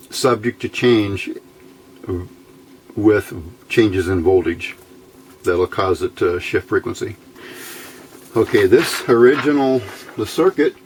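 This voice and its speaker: A man giving an unscripted hands-on technical tutorial in a matter-of-fact, halting, step-by-step way. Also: fairly low pitch, rough recording. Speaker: host of an electronics build tutorial. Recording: clear background noise, room ambience.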